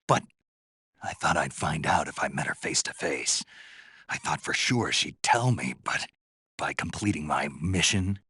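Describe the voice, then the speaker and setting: A man playing a gruff, terse American soldier on a covert mission in a video game, with a low, gravelly, husky voice. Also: sharp tone, no echo, heavily acted. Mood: troubled, questioning. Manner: terse, deliberate, dry. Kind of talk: dramatic game voice acting.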